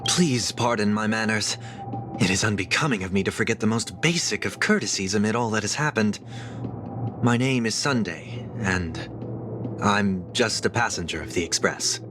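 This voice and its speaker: An actor playing a refined, well-mannered young gentleman traveler in a video game, formal and polite. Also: on the low side, moderate pace, noisy background, lightly acted. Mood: courteous.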